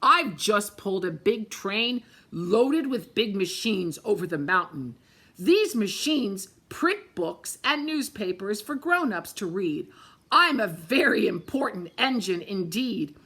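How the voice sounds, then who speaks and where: A woman's voice: mid-range voice, very animated, takes it slowly, pushed and bright, husky, heavily acted. A woman voicing a big, self-important male freight engine in a storybook, bellowing his lines. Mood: pompous.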